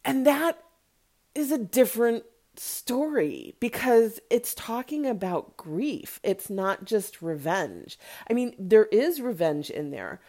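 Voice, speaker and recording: A woman's voice: on the high side, sing-song, slow pace, mellow. Chatty, candid woman reviewing movies. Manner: chatty, candid, conversational asides. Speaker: podcast host giving movie reviews. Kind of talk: casual, opinionated solo movie commentary.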